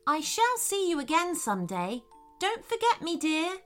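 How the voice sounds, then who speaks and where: Woman doing a calm tiny firefly teacher. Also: very high-pitched, normal speed, soft background music, pitched above the performer's own voice, full character voice. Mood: gentle. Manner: calm, relaxed.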